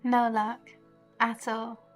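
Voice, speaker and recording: A woman's voice: a little high, completely flat delivery, slow pace, faint music behind. A woman streaming a game, chatting casually and thinking aloud in an informal way. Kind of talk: casual live gaming stream commentary.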